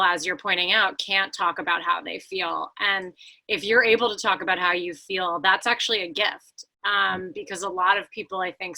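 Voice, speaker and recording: A woman's voice: medium pitch, vocal fry, bright, forceful tone, poor audio. A woman interviewing a guest over a remote call, supportive and affirming. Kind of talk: interview conversation. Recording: remote call with slight delay.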